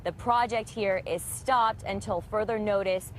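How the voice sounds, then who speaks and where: Female American TV field reporter, average pitch, live news delivery, light outdoor background noise. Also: steady tone, measured pace. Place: outdoors on a city street by a demolition site.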